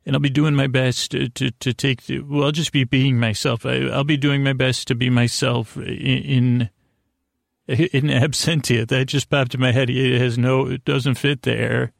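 A middle-aged man hosting a bedtime podcast, rambling in a halting, stammering way with self-deprecating, gently silly asides and tangents. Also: mid-range voice, little pitch movement, gravelly, no echo, clean studio sound. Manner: halting, stammering, repeats words and trails off into tangents. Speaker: podcast host.

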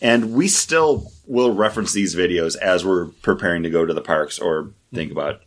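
A man talking as a guest on a casual podcast, relaxed and joking. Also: low-pitched, normal speed.

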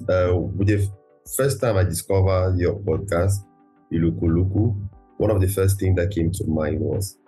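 A man's voice: deep voice, warm tone, slightly rough, rough recording. A man hosting a podcast interview, asking questions with frequent "you know" fillers. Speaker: podcast host.

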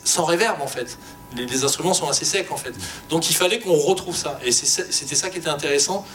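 A man speaking as a sound engineer on a panel, explaining technical work informally and loosely. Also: fairly bright tone. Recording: live panel with clear background noise, low rumble.

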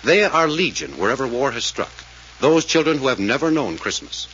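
A male announcer delivers a scripted 1940s radio appeal, solemn and emphatic. His pitch moves widely and his tone is forceful. The recording is dull with some noise. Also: sing-song, moderate pace.

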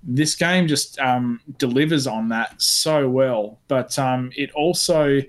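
A man with an average-pitched voice chats as a podcast panellist. His delivery is informal and hesitant, with restarts as he searches for the next word. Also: takes it slowly. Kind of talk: casual chat.

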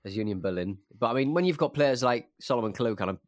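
A man chatting casually as a football podcast panellist, in a halting, hesitant way. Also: mid-range voice, expressive, dry recording, clean studio sound.

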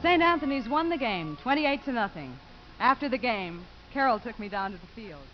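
A woman's voice: a little high, very animated, moderate pace, faint music behind. An actress playing a composed, dryly skeptical woman in a 1950s radio drama. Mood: wry narration. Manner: dry, composed. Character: composed, dryly skeptical grown woman narrating her story. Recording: muffled, noisy 1950s radio broadcast.

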